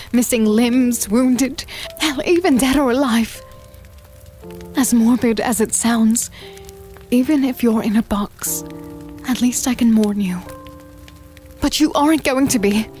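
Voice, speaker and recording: A woman playing an anxious, devoted young companion in a dark fantasy drama, speaking earnestly and intimately, with a pleading manner. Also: a little high.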